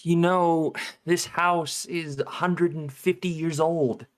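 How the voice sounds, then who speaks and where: A man's voice: fairly high pitch, fairly even intonation, slow. A man playing an absent-minded, exasperated father of forty in an amateur screenplay table read. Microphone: video call.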